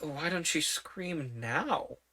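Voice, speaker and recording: A woman's voice: low-pitched, lively intonation, drawn-out words, sharp tone, exaggerated voice. A woman voicing a polite, curious young girl in a storybook reading, asking puzzled questions.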